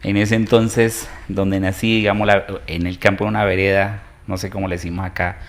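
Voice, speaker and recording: A man's voice: deep voice, little pitch movement, fairly quick. A Colombian man in his fifties, an entrepreneur and interview guest, telling his story hesitantly with many fillers. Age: 50s.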